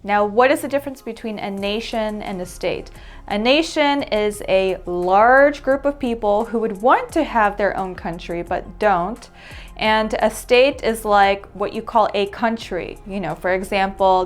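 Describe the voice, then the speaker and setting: A woman speaks straight to her viewers in a relaxed, talkative vlog style, warm in energy and leaning on repeated words for emphasis. Her voice sits at an ordinary middle pitch. Soft music plays quietly beneath her. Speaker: vlog host and English teacher. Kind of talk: casual vlog talk to camera.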